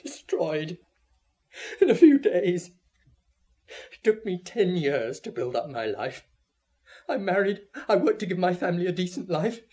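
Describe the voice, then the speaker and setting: A man's voice: sits high, very animated, unhurried, pauses often, a little mellow. An actor playing a distraught, ruined family man in a radio drama, emotional and anguished. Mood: broken, bitter. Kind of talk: old radio drama acting.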